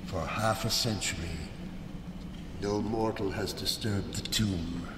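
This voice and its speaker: In a noisy, echoing hall, a haunted, vengeful older man telling of his cruel deed. He speaks slowly and theatrically, some distance from the mic, in gothic radio drama fashion. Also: fairly low pitch, steady tone. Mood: haunted, remorseful. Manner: slow, deliberate, dramatic delivery. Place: live stage before an audience in a large hall.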